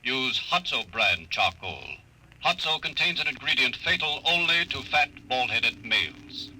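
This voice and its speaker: An actor playing an emotionless computer in a 1950s radio drama, giving instructions in a flat, formal announcer's delivery. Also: male voice, mid-range voice, a little slow, exaggerated voice. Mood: impassive, matter-of-fact. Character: emotionless computer logic answering service questions. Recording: noisy old radio broadcast recording.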